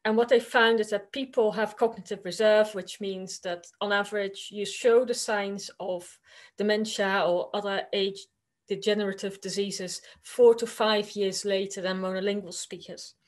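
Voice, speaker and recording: A woman's voice: mid-range voice, steady tone, normal speed. A woman with a Dutch accent, Dutch her first language, giving an academic conference presentation over a video call. She talks in a conversational, hesitant way, with many fillers.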